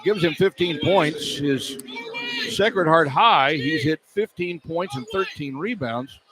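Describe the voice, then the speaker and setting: A man calling live basketball play-by-play for radio in clipped running descriptions that can rise into excited calls. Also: on the high side, takes it slowly. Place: indoor arena at a live game. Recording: live radio broadcast with arena noise. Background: arena noise.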